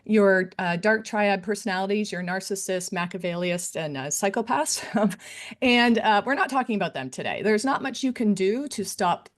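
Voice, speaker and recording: A woman's voice: medium pitch, animated, normal speed. A woman presenting to faculty in a conversational style, with frequent fillers and "right?" tag questions. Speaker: academic integrity director giving a faculty talk. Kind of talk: conference presentation.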